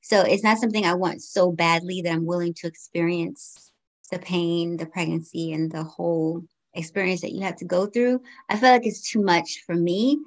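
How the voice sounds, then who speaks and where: Woman, 40s, from Guyana, podcast guest, candid, thoughtful, slightly low pitch. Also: steady tone, moderate pace, low-quality recording. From Guyana, raised in the United States. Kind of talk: conversational interview answers.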